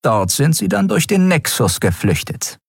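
A sharp, predatory alien woman serving as first officer, delivering crisp military reports, as a male reader voices her. Her voice sits high and husky. The sound is dry and close, like a storybook reading. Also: sing-song, unhurried, no room sound, heavily acted. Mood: reporting.

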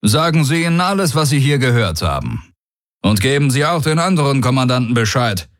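Man voicing a proud, high-pitched alien warlord. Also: sing-song, a little fast, no room sound, studio quality, close to an everyday voice. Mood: smugly polite. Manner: commanding, smugly self-assured. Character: proud, bold alien warrior warlord, coolly mocking. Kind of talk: audiobook character dialogue.

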